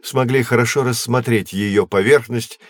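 A man playing a patient, learned astronomer who guides children in an educational audio drama, measured and didactic. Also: medium pitch, fairly even intonation, no echo, studio quality, natural voice. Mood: explaining. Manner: measured, didactic, clearly enunciated.

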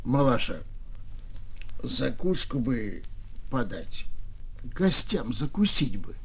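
A man playing a meek, timid husband, pleading slowly and wheedling in a radio story. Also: medium pitch, big pitch swings, husky, pitched below the performer's own voice, exaggerated voice.

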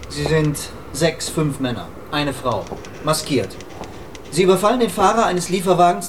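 A man playing a matter-of-fact informant in a radio drama, briefing a detective in clipped, deadpan phrases. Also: a little high, close to an everyday voice. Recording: distant mic, noisy ambience.

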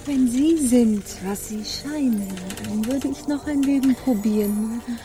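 Eine Schauspielerin in einem deutschen Hörspiel, die eine gefasste, höfliche, lebensmüde Dame auf See gemessen und förmlich spielt. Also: female voice, a little high, dark tone, lightly acted. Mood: gently reproving. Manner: measured, formal. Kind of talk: German radio drama acting.

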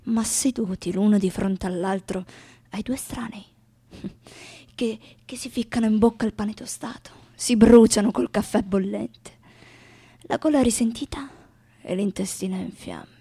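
A woman playing a wry, disillusioned lover in radio theatre, reciting bleak poetry with pauses and repeated words. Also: mid-range voice, dark tone, husky, dry recording. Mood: weary, disillusioned.

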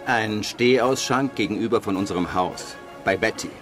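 Radio play narrator: male actor as dry, literary screenwriter, lowish voice, measured and detached, music underneath. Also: a bit bright, close to an everyday voice. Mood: reflective. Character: male screenwriter narrating his postwar schemes, wry and detached. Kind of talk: scripted radio drama narration.

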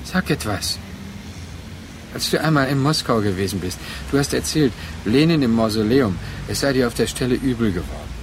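Radio play role: actor as brooding man haunted by a lost love, halting and reflective, mid-pitched, clear ambient noise behind. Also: expressive, lightly acted. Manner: halting, reflective monologue in short phrases. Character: brooding man pleading with his remembered former lover. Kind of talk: literary radio drama acting.

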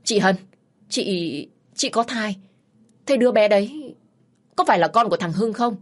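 Woman acting a husky, overwrought grieving mother. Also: on the low side, moderate pace. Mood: shocked, urgent. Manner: emotional, overwrought. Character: grieving, emotional mother-in-law, bitter then tearfully grateful.

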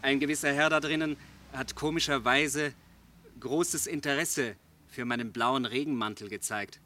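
A male actor plays a young student suspect in a radio drama with a bright, sharp voice. He is exasperated and sardonic, retorting with impatient irony. There is faint noise behind him. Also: medium pitch, normal speed, natural voice. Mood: guarded, curious. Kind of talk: German radio play acting.

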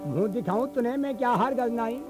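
Male folk bard, extremely high voice, sung-and-spoken storytelling, instrument playing behind, field cassette recording. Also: animated, brisk, over music. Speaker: traditional folk storyteller and singer. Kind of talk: folk tale performance alternating sung verse and spoken narration. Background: musical instrument accompaniment.

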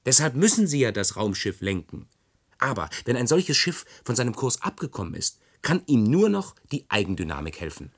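Animated, sly persuader. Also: male voice, mid-range voice, moderate pace, breathy edge. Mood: coaxing, persuasive. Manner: coaxing, mock-reasonable, animated. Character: sly, persuasive man spinning plausible technical nonsense. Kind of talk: German science-fiction radio play acting.

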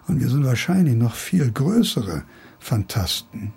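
Radio drama role: sardonic older literature professor, gravelly, badly husky, condescending, fond of grand musings. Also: male voice, medium pitch, very animated, measured pace, warm tone, exaggerated voice. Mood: weary, philosophical. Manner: condescending, needling, philosophizing.

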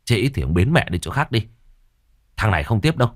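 A man voicing a rude, quick-tempered young man who scolds with contempt. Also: low-pitched, expressive, darkish tone, no echo, studio quality. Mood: scornful. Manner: scornful, scolding.

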